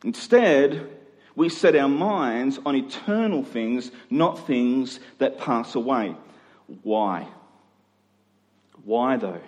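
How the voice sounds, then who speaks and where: A man's voice: medium pitch, animated, slow pace, dull audio. Male preacher in a reverberant hall. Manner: rhetorical questions, dramatic swings between emphatic and hushed. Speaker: pastor. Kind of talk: sermon to a congregation. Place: large reverberant church hall.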